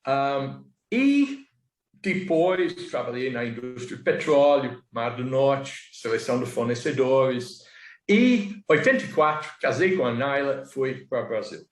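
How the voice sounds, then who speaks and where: A man's voice: mid-range voice, lively intonation, measured pace, reverberant. A man in his sixties from England, a native English speaker with an English accent, telling his life story as an interview guest with many restarts and fillers.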